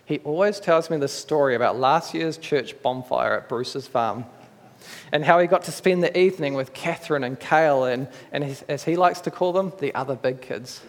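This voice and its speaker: A man with a New Zealand accent preaching a sermon in an earnest, measured, conversational way, with small restarts. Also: fairly high pitch. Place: church hall. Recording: echoey church hall.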